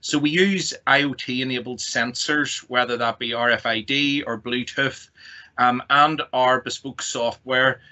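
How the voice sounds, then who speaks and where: A man's voice: medium pitch, fairly even intonation, unhurried, bright, forceful tone. A man with a Northern Irish accent giving a semi-formal online presentation as a company representative. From Northern Ireland. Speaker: technology company executive, guest presenter. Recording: dull lo-fi online call audio.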